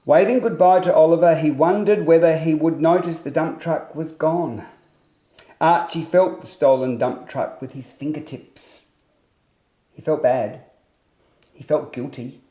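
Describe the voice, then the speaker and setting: A man reading a scripted children's story as storyteller, with expressive, deliberate phrasing in a roomy, echoing space. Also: a little high.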